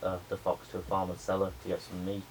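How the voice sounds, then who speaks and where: A young adult man, a media student giving a spoken pitch presentation to a class. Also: monotone, fast talker, a little mellow. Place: indoor room with a small audience. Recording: noisy room recording.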